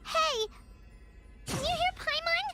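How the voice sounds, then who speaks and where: A woman voicing a tiny, excitable, blunt childlike fairy companion in a video game, squeaky and exclamatory. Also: slow pace, exaggerated voice. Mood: alarmed, pleading. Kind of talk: video game character acting. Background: game music.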